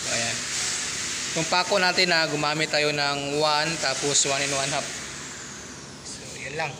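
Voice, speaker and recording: A man with a slightly high voice narrates a casual tour of a warehouse under construction, recorded on a handheld phone. He chats loosely and keeps stopping on filler sounds. Background noise is clearly audible. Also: fairly even intonation, a little slow. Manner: chatty, many fillers, keeps addressing viewers as "boss". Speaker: construction vlogger. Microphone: handheld phone camera.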